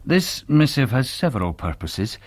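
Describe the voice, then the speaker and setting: An actor playing a grave, devout young Presbyterian minister with a Scottish accent, in a radio drama. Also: male voice, mid-range voice, big pitch swings, normal speed, no echo, studio quality. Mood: grave, formal. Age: young adult. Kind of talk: radio drama acting, reading letters and journal.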